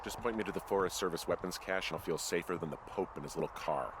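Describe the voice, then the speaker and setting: An actor playing a sardonic, gruff American fire lookout in a video game, talking in a casual deadpan way. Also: male voice, deep voice, fast talker, background noise, natural voice. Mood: bitter sarcasm. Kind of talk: naturalistic video game dialogue.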